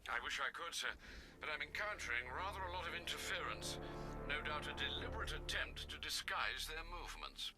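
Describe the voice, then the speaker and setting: An actor playing a courteous older butler in a video game, formal, dry and understated. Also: male voice, on the high side, big pitch swings, brisk, over loud music. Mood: dutiful. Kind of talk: video game cinematic acting.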